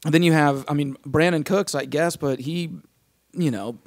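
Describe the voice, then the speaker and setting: A man hosting a casual sports talk podcast, his voice a little off as it recovers from illness. His voice sits fairly high, and the sound is dry and close. He leads the discussion with bursts of questions and frequent restarts. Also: normal speed, no room sound. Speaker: podcast host.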